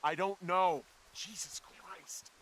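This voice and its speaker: A man playing a wary, sardonic fire lookout in a naturalistic video game style, halting and gruffly irritable. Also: sits high, steady tone, a bit bright, heavily acted. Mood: shaken, hurt. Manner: dry, sarcastic, halting, swears freely.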